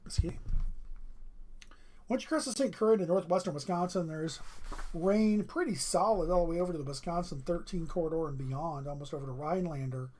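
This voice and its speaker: A weather livestreamer giving steady running commentary over radar maps, with a strained voice and frequent throat clearing. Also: mid-range voice.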